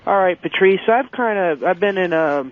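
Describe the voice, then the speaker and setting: A young man in his twenties calls in to a radio show with a high voice. He speaks casually and openly, hesitating and rambling as he works toward his question. The sound is slightly lo-fi. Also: normal speed, no room sound. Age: 20s. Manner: hesitant, rambling, unsure of himself. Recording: dull, lo-fi radio call-in audio.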